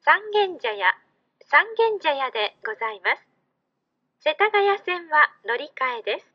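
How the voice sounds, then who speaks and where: A woman's voice: high-pitched, sing-song, unhurried. A woman making formal station announcements, caught in a dull, noisy recording.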